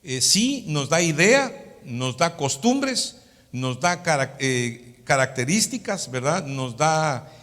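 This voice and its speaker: A man's voice: on the high side, very animated, slow, fairly bright tone. A man with a Latin American Spanish accent teaching a sermon as a pastor, in a didactic manner, often checking for agreement with short tag questions. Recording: long hall reverb.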